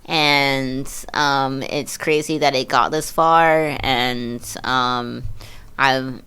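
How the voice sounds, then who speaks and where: A woman in her early thirties with an exceptionally low speaking voice and a clean, resonant tone. She talks as a podcast guest in a loose, slangy way, blunt and peppered with profanity. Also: fairly even intonation, very slow, sharp tone, clean tone. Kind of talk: casual chat.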